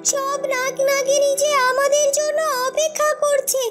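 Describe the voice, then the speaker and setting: Cartoon serpent queen, female actor: very high, childlike pitch, gentle and gracious, music underneath. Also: little pitch movement, measured pace. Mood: gentle, earnest. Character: gentle, grateful serpent queen of the snake realm. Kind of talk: dramatized cartoon story dialogue.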